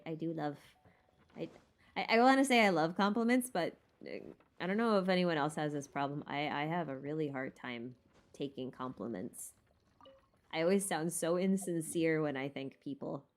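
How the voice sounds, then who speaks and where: A woman's voice: deep voice, lively intonation, normal speed. A woman streamer chatting casually and thinking aloud in a tentative, rambling way as she answers questions. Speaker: streamer hosting a lore Q&A. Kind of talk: casual rambling livestream chat about game lore.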